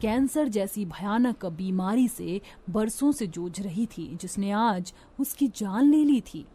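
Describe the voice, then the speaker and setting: A woman's voice: mid-range voice, lively intonation, slow, dark tone, slightly rough. A woman narrating a scripted dramatic story as a storyteller.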